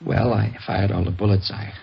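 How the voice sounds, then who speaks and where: A man's voice: fairly low pitch, steady tone, moderate pace, gravelly, slightly rough, heavily acted. A man acting in an old radio crime drama as a shaken husband giving his account to police. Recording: dull old radio recording.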